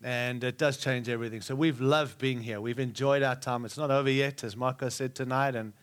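A man's voice: medium pitch, fairly even intonation, a little fast. A middle-aged man, preaching to a live church with repeated, rousing phrases and jokes for the congregation. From Australia. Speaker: guest preacher.

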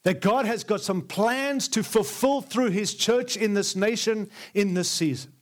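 A man with an Australian accent preaches to a congregation in a church, his voice sitting noticeably high. He is earnest and emphatic, piling up repeated phrases as he drives each point home. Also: unhurried. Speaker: guest preacher. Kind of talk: sermon. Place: large church before a live congregation.

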